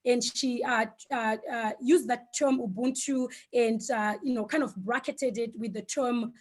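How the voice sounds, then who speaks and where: High-voiced woman, reflective and hesitant. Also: steady tone. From Zimbabwe. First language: Shona. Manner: hesitant, reflective, pausing often mid-thought. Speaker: guest speaker and presenter. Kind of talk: informal online presentation. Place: online video call.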